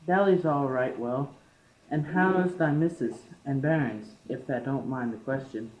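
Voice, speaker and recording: A man's voice: medium pitch, a little slow, a little mellow, slight background noise, close to an everyday voice. A young man in an amateur radio play acting a stubborn, plain-spoken, crafty working man. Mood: respectful, friendly. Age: teenager. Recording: muffled, echoey classroom-made amateur recording.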